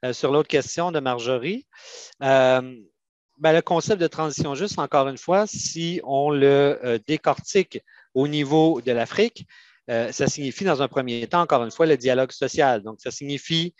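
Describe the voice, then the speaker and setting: A man from Canada with a Quebec French accent, explaining methodically and haltingly as a union adviser answering questions in an online panel. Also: mid-range voice, dry recording, highs cut off.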